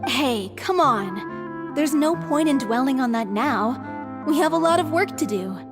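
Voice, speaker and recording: A woman's voice: high voice, expressive, unhurried, big-room sound. A woman voicing an earnest young theater troupe leader in a video game, polite and encouraging. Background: game score music.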